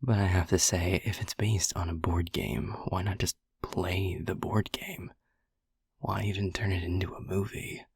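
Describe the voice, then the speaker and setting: A man playing a breathy, flirty incubus, low and slow. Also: fairly even intonation, darkish tone, no room sound, studio quality, heavily acted. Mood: flirty, playful. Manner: teasing, seductive, breathy. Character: flirty, protective male incubus, smooth and cocky. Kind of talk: scripted audio roleplay.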